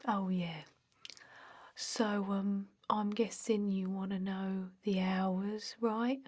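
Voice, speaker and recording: A woman acts out a female energy vampire working a dull office job in an ASMR roleplay. The voice is soft, mellow and breathy, often dropping into a whisper. The delivery is extremely slow, halting and deadpan, droning on without hurry. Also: mid-range voice. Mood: bored, chatty. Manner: halting, monotone, hesitant, deadpan.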